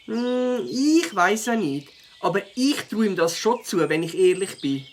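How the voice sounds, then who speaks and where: A woman's voice: mid-range voice, sing-song, a little slow, slight background noise. A woman voicing a young, outspoken, skeptical boyish beaver biker in a children's audio drama. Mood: suspicious.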